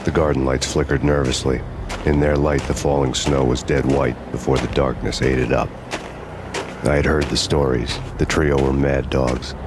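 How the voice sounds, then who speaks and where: A grim, world-weary ex-cop for a game's noir cutscenes. His voice sits extremely low and barely moves in pitch. He talks deadpan, with dry sarcastic wit. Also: moderate pace, background noise. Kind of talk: noir game narration and dialogue. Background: music.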